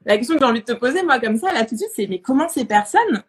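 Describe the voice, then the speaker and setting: A woman's voice: fairly high pitch, lively intonation, racing. A woman hosting a casual live interview, chatting informally and often searching for her words as she phrases questions. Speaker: interview host.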